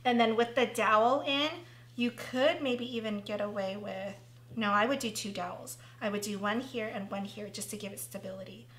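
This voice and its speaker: A woman's voice: medium pitch, normal speed, a bit bright, reverberant, rough recording. A woman hosting a casual craft tutorial, thinking aloud as she works, and losing her voice. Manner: chatty, thinking aloud while working.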